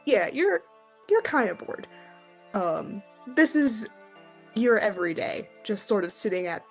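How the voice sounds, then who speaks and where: Woman game master. Creaky, mid-pitched, conversational improvised narration over soft music. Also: big pitch swings, measured pace. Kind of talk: casual improvised scene narration for tabletop game.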